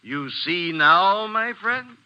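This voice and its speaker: A man's voice: sits high, lively intonation, slow, heavy background noise. Radio mystery professor: emphatic illusionist showman, wide swooping delivery, muffled vintage sound. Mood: explaining, assured. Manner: theatrical, declaiming, emphatic. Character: theatrical male professor, showman illusionist exposing trickery. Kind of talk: 1940s radio mystery acting.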